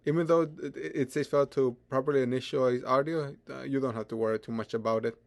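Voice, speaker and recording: A man presents a step-by-step software tutorial without a script. His delivery is hesitant and halting, with repeated words and stammered starts, in a mid-pitched voice. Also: steady tone, gravelly. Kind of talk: unscripted software screen tutorial.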